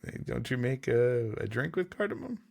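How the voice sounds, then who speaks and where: A man's voice: mid-range voice, very animated, moderate pace, gravelly, a little mellow. A man co-hosting a podcast, reading a story aloud with dry, wry joking asides. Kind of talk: casual banter mixed with story reading.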